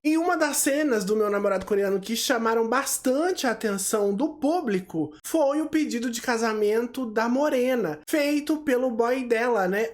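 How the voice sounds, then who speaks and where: A man hosting his entertainment news channel, chatty and upbeat. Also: very high-pitched. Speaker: channel host.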